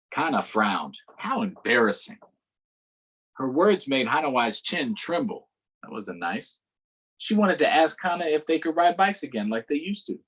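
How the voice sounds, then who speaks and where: A man reading a picture book aloud to children in an unhurried way, pausing for short explanatory asides. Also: medium pitch, a bit bright, slightly rough, rough recording. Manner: unhurried, adds explanatory asides to young listeners. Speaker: storytime reader.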